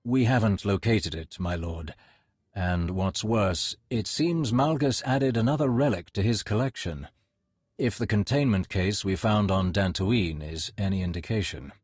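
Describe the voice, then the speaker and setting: An actor playing a courteous Sith lord in a video game, formal and measured, with polished deference. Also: male voice, fairly low pitch, darkish tone, slightly rough, no room sound, clean studio sound. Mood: grave, deferential. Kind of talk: dramatic video game voice acting.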